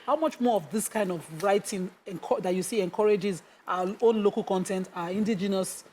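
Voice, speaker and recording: A middle-aged Nigerian woman presenting a TV interview, speaking haltingly while she searches for words. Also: mid-range voice, darkish tone, slight background noise. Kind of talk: TV studio interview.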